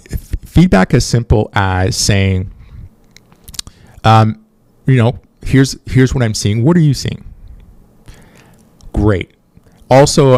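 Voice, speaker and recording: A man whose first language is French talks through his podcast in a relaxed, mellow, lowish voice. He speaks conversationally, often restarting a phrase and tagging "right?" onto his points. The sound is dry and close, with no room around him. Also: no room sound. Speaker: podcast host. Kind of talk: solo podcast talk on leadership.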